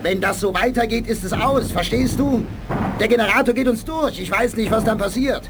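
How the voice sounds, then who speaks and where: An actor playing a blunt, excitable, fatalistic spaceship officer in a 1980s German radio play. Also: male voice, normal speed, lightly acted. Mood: alarmed. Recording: loud rumbling noise behind the voice.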